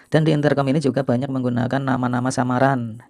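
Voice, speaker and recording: A middle-aged man from Indonesia hosting a video, reminiscing to viewers in an informal, unhurried, explanatory way. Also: medium pitch, little pitch movement, gravelly, darkish tone, dry recording, studio quality. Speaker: video host and storyteller.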